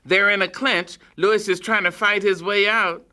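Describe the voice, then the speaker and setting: A woman voicing an excited male 1930s radio boxing commentator, calling the fight blow by blow with urgency. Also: medium pitch, normal speed, pitched above the performer's own voice, natural voice. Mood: urgent. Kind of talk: rapid-fire blow-by-blow sports commentary.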